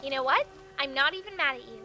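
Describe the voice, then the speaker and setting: Woman doing a neurotic girl pony, really high voice, theatrical, cartoon parody w music behind. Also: fast talker. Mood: flat, strained. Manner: theatrical, swings between panic and deadpan. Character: neurotic young female cartoon pony, self-absorbed and dramatic. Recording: cartoon soundtrack with music underneath. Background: background music.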